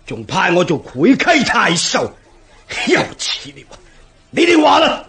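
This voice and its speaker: Casting: proud turncoat general in radio drama, high-pitched, gravelly, excitable. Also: male voice, full character voice. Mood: outraged. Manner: declamatory, excitable. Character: blustering, indignant turncoat army general. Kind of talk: Cantonese radio historical drama acting.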